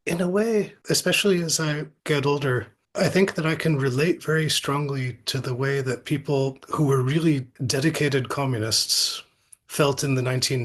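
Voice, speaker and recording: A middle-aged man giving reflective, anecdotal interview answers as an academic guest, thoughtful and halting, often repeating words. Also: medium pitch, fairly bright tone.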